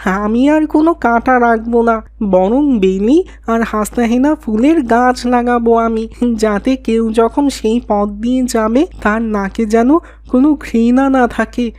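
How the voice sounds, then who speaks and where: A woman's voice: a little high, measured pace, a little mellow, no echo, natural voice. A woman voicing an earnest, emotional young boy in an Islamic cartoon drama. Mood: earnest, hopeful. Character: Mahadi, remorseful boy turned devout and kind.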